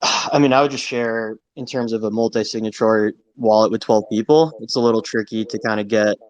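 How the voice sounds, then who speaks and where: A man's voice: lowish voice, dry recording, rough recording. A man speaking off the cuff in a casual online discussion, hedging often with a conversational manner. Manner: hedging, conversational, heavy use of "I mean". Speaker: audience participant in a live audio discussion. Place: live online audio room.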